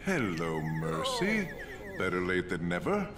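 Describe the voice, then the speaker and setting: A man's voice: deep voice, huge pitch swings, unhurried, full character voice. A man playing an arrogant, sneering criminal mastermind in a video game, speaking in a pompous, theatrical manner. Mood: smug, boastful. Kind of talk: animated video game cutscene acting. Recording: echoey, loud music behind.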